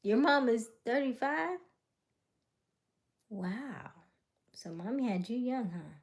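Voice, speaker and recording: A woman in her late thirties hosting a casual livestream chat, teasing and playful, slipping into quiet asides. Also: on the high side, animated, slow. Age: late 30s. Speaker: livestream host. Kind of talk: casual live chat with viewers.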